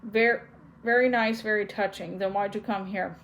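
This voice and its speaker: A woman's voice: mid-range voice, steady tone, moderate pace, fairly bright tone, lo-fi sound, a little hiss or hum, natural voice. A woman voicing a bitter, cornered witch in a storybook reading, speaking in an intense, scornful way. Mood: mocking.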